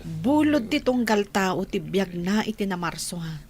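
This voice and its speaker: A woman's voice: mid-range voice, animated, measured pace, darkish tone. A woman playing a sympathetic mother giving solemn condolences in a radio drama. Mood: solemn sympathy. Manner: solemn, consoling.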